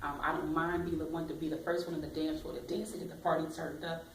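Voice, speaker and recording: A woman career coach giving bold, expressive motivational advice in an interview. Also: deep voice, fast, slight background noise. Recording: echoey room, mic at a distance.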